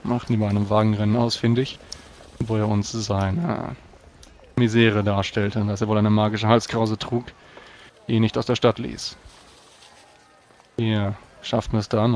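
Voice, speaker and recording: A man speaking formally and deliberately as a proud, pious knight in a tabletop role-playing game. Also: lowish voice, flat delivery, a little mellow. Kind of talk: in-character role-play narration. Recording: clear background noise.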